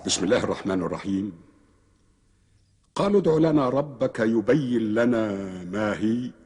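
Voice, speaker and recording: A man chanting a Quran recitation in a melodic, drawn-out tajwid style. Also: mid-range voice, animated, darkish tone, slightly rough, faint music behind.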